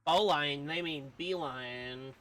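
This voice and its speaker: A woman's voice: low voice, takes it slowly, a bit bright, faint music behind. A woman streamer giving casual playthrough commentary and reading game dialogue aloud.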